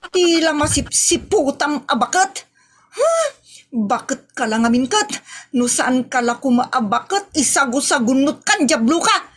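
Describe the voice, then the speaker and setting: A woman's voice: sits high, big pitch swings, a little slow, slight background noise. A woman playing a quarrelsome, mocking village woman with an Ilocano accent in a comedy audio drama. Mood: mocking, scolding. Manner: taunting, scolding.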